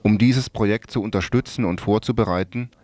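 A man reading a scripted radio report in a formal, measured manner. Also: lowish voice, darkish tone, no room sound. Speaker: radio presenter.

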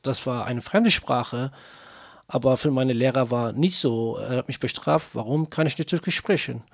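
Gravelly man in halting non-native German. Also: medium pitch, no room sound, clean studio sound. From Kurdish region of Turkey. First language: Kurdish. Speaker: singer, guest. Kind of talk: interview answers.

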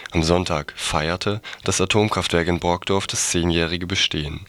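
A man's voice: low-pitched, fairly bright tone, dry recording. A man reading scripted news items on the radio as a news reader.

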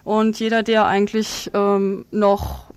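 A woman being interviewed as an activist guest, explaining her position in a halting, spontaneous way with frequent ums. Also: mid-range voice, wildly swooping pitch, clean tone, no echo.

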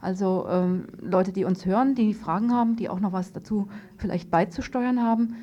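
A woman's voice: mid-range voice, normal speed, warm tone. A woman hosting an informal radio interview, phrasing her questions tentatively as if thinking aloud. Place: radio studio. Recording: dull lo-fi radio tape, noisy.

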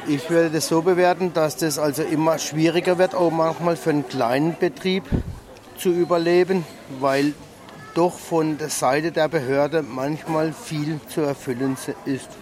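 High-voiced farmer, busy market. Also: male voice, steady tone, normal speed, darkish tone. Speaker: small farmer. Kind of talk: informal interview answer. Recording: busy outdoor market chatter.

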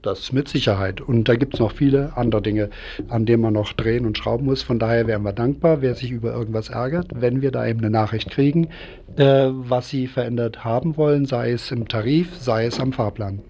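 A man with a dark, relaxed voice speaks as an activist guest in a radio interview. He explains his points haltingly and without a script. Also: medium pitch, slight background noise.

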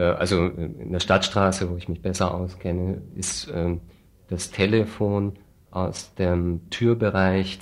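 A man, an activist being interviewed, explaining things deliberately in a critical, dryly sardonic manner. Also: deep voice. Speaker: refugee aid activist, interview guest. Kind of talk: spontaneous explanatory interview talk.

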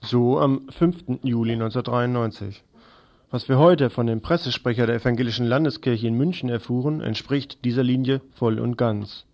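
A man's voice: medium pitch, moderate pace, a little mellow. Radio reporter guy, reading a news report, regular mid voice.

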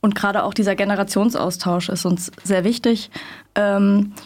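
Young woman activist as studio guest, mid-pitched, hesitant and thoughtful. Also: steady tone, moderate pace, darkish tone. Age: young adult. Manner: thoughtful, many ums and restarts. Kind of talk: studio interview. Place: radio studio.

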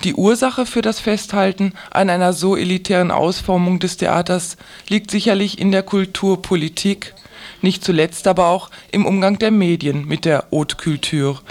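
A woman reading a scripted, critical commentary for a radio programme. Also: on the low side.

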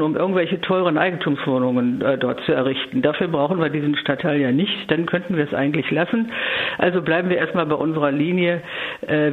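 A woman giving a spontaneous political interview as a local council leader, speaking in a measured, emphatic way with careful wording. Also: sits low. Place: phone call to a radio programme. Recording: narrow-band phone line.

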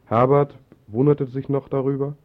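A man's voice: medium pitch, steady tone, moderate pace. A man presenting on the radio, making announcements and reading scripted narration in a studio. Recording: dull lo-fi 1980s radio recording.

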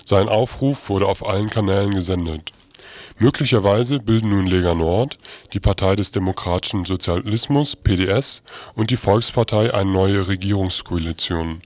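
A man's voice: low voice, moderate pace, a little mellow, no echo. A man reading scripted news as a radio newsreader.

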